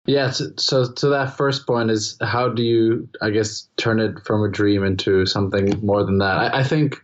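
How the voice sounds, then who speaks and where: A man's voice: fairly low pitch, fairly even intonation, moderate pace, lo-fi sound, a little hiss or hum. A young man from the Czech Republic, a native Czech speaker with a strongly Americanized international-school accent, answering interview questions in a casual, rambling way with many restarts. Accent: international-school American English. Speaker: guest musician.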